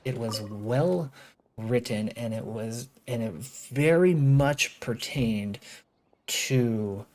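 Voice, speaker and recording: A video game streamer giving casual live commentary, rambling and thinking out loud, with opinionated, sarcastic asides. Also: medium pitch, drawn-out words. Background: game music.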